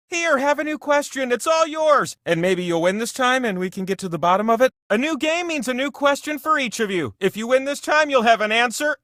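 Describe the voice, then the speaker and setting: Bright, very high-voiced game-show wheel deity; theatrical, chatty, pompous video game host. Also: male voice, no room sound, clean studio sound, full character voice. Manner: theatrical, playful, pompous proclamations mixed with chatty asides.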